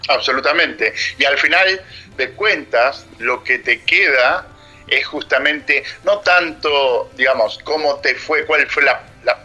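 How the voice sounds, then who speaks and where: A man hosting a radio talk show, reflecting in a thoughtful, halting way with many fillers. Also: mid-range voice, sharp tone, soft background music. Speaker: radio host.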